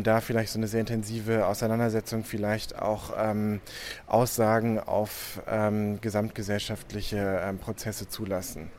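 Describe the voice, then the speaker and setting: A man's voice: fairly low pitch, darkish tone, a little hiss or hum. A young man from Hamburg, an artist, answering interview questions hesitantly with many filler sounds. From Hamburg, Germany.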